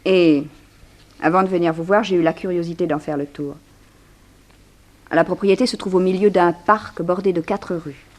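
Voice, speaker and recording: An actress in a 1960s French radio drama playing a poised, curious society journalist who speaks in an articulate, lively way. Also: female voice, fairly low pitch, moderate pace, pauses often, lightly acted. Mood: earnest, confiding.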